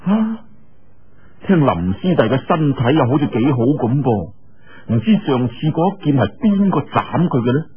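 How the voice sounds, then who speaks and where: A man's voice: medium pitch, big pitch swings, normal speed, husky, phone-quality sound. A man voicing the inner thoughts of a lovelorn, anxious young swordsman in an audiobook, self-mocking and full of sighs and exclamations. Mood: wistful. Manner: sighing, exclaiming.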